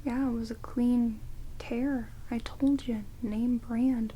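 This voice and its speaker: Woman acting as a grumbly sarcastic vampire girl, super mellow soft half-whispered asmr, rain hiss behind it. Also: on the high side, fairly even intonation, slow, poor audio. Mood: grumbling, dismissive. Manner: soft-spoken, half-whispered, dry and muttering. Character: sardonic, grumbling female vampire grudgingly tending an intruder. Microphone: close binaural microphones. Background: heavy rain.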